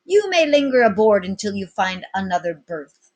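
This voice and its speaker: A storybook pirate captain, acted out by a woman reader, addresses his crew like an orator. The speech is florid and commanding, pitched up and projected with grand self-assurance. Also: big pitch swings, moderate pace, a little mellow. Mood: proud, inviting.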